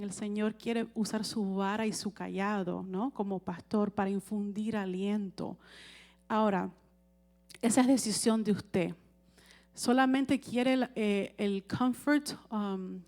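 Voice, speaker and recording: A woman's voice: mid-range voice, a little mellow. A woman preaching a sermon in a conversational way, often checking with the congregation and asking for amens.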